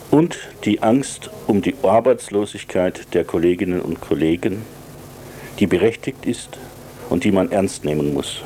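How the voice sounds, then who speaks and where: A man's voice: on the low side, mellow. A man talking in an explanatory way about serious matters, recorded with some background noise. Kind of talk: explanatory political talk.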